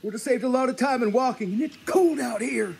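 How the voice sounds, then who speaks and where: A grumbling, gullible small-town fellow for radio comedy. His voice is very high and husky, with a folksy, complaining delivery. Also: brisk, a little mellow, exaggerated voice. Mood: grumbling, anxious. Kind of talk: live comedy radio theatre acting.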